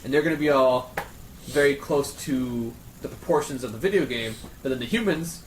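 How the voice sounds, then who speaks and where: Man, casual chat, rapid delivery, enthusiastic rambling explainer, echoey room. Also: mid-range voice. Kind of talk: casual group chat about games and films. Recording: roomy echo, faint background noise.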